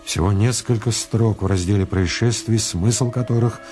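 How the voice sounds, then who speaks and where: Low-voiced male actor as detective story narrator. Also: steady tone, moderate pace, music in the background, close to an everyday voice. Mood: measured. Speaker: narrator of a radio drama. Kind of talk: scripted dramatic narration.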